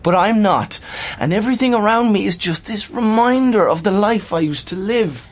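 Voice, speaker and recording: A woman's voice: mid-range voice, moderate pace, natural voice. A woman acting in a radio play as a bitter, despairing, seriously ill young man, with an intense delivery. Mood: frustrated. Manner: intense, embittered.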